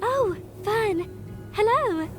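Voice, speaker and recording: A dreamy, sheltered young female rabbit in a fantasy game. The voice is high and slow, hesitant and easily flustered. Music is clearly heard underneath. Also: expressive, full character voice. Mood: shy, wistful. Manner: hesitant, flustered, sweetly naive. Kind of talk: character acting in a fantasy visual novel. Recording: music behind the voice.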